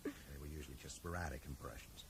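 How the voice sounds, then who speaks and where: An actor playing a level-headed, earnest bookshop owner in a radio drama, explaining things in a measured way. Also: male voice, extremely low pitch, steady tone. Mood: earnest, reflective. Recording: mild hiss, 1980s radio drama.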